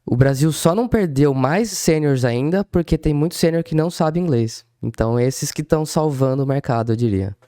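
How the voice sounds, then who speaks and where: Smooth, mellow Brazilian man, dry sound. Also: fairly high pitch, normal speed, hardly any pauses, no echo. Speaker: podcast co-host, programmer. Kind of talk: casual podcast conversation.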